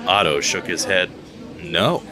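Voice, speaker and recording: A man narrating a scripted audio drama in a steady storytelling manner, over background music. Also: low voice, measured pace, a crowd in the background.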